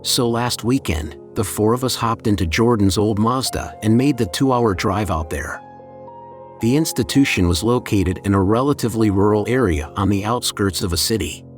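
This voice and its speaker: A man with a fairly low voice reads a scripted creepypasta in the first person. His delivery is measured and deliberate, like a storyteller laying out each detail. Music plays clearly behind his narration. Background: background music.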